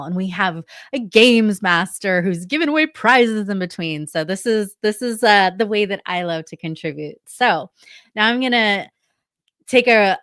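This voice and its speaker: A woman hosts a panel over a video call, drawing her words out slowly. The sound is dry and close, with no room around her voice. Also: mid-range voice, fairly bright tone, smooth, dry recording. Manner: slow, drawn-out speech with frequent laughs. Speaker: summit host.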